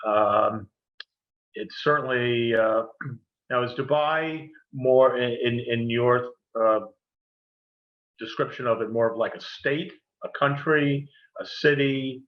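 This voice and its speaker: A man co-hosting an interview over a video call, asking questions in a halting, hesitant way with many fillers. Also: mid-range voice, lo-fi sound. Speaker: co-host.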